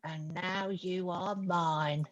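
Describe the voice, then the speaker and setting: A woman's voice: lowish voice, fairly even intonation, drawn-out words, lo-fi sound. Woman voicing a sleepy, menacing great bear.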